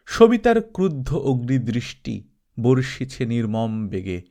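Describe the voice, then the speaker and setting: A man reading a literary travelogue as an expressive, theatrical storyteller. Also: medium pitch, measured pace. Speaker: audiobook narrator.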